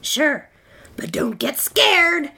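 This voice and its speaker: A raspy girl monster from a storybook, put on by a woman. She is tough and haughty, pitched up and quick to snap. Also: very animated, pushed and bright, heavily acted. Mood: boastful. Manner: snappy, haughty. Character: tough, snappish girl monster with razor claws.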